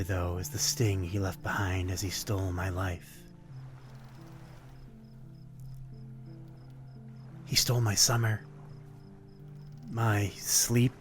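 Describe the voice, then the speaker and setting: Man playing a slow, brooding, courtly vampire. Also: on the low side. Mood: bitter, grieving. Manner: old-fashioned, polite, brooding, addressing one listener intimately. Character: melancholy, courtly male vampire stranger, softly predatory. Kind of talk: ASMR roleplay, dramatic monologue.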